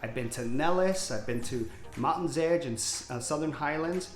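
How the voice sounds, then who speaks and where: A man's voice: on the high side, moderate pace, reverberant, soft background music. A man in his fifties from Hawaii, introducing himself to camera as a real estate agent in a candid, upbeat way. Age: 50s. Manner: candid, talkative, upbeat salesman. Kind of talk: direct-to-camera self-introduction.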